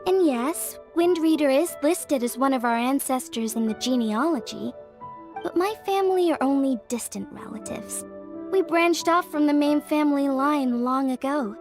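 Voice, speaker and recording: A woman's voice: sits high, moderate pace. A young woman voicing an earnest craftswoman from a fortune-telling clan, explaining things in a modest, careful way. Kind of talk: video game voice acting. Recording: game cutscene with faint music.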